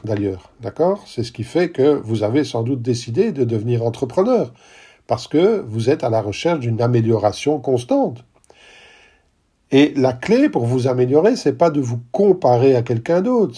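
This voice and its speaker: A man's voice: mid-range voice, dark tone, slightly rough. A middle-aged man hosting a podcast monologue as a coach, talking straight to listeners, emphatic and repeating key phrases. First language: not English. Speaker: podcast host and business coach.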